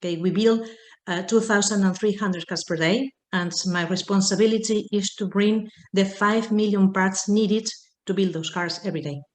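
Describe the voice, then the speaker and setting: A woman talks earnestly on a video call and keeps putting in "okay" between phrases. Her voice sits at an ordinary pitch. The room around her sounds echoey. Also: normal speed. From Spain. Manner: frequent "okay" fillers, earnest. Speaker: panelist, logistics manager. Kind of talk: online round table answer. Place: home or office on a video call. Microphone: video call microphone.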